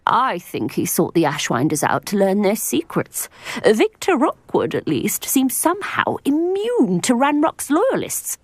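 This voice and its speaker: A woman's voice: medium pitch, huge pitch swings, unhurried, no echo, full character voice. An actress playing a worried older witch villager in a video game, earnest and gossipy, with a British accent. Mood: worried, confiding.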